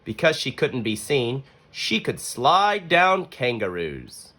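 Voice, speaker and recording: A man reading a children's story aloud as an expressive narrator, with deliberate pauses between phrases. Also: medium pitch. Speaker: storybook reader.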